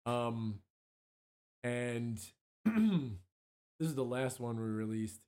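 A man with a hoarse voice and a dark, mellow tone speaks at a middling pitch. He talks as a songwriter guest in a casual interview, rambling and often interrupting himself mid-thought. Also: lively intonation, drawn-out words, a little hiss or hum. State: hoarse from allergies, clearing throat.

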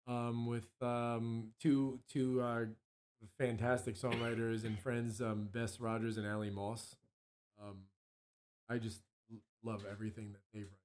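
A man with a hoarse voice and a dark, mellow tone speaks at a middling pitch. He talks as a songwriter guest in a casual interview, rambling and often interrupting himself mid-thought. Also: a little hiss or hum. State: hoarse from allergies, clearing throat.

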